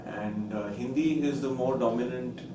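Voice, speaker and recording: A man's voice: medium pitch, normal speed. A man from India whose first language is Konkani, a film director answering interview questions thoughtfully, with many fillers. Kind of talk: interview conversation. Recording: roomy, distant, faint noise.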